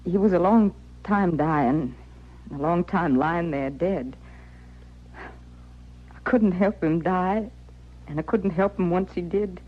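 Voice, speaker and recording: An actress with an American accent playing a worn, stubborn young widow on the prairie in plain rustic speech for a radio western. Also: female voice, lowish voice, takes it slowly, pauses often. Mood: bleak. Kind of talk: old-time radio western acting. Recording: muffled old radio recording.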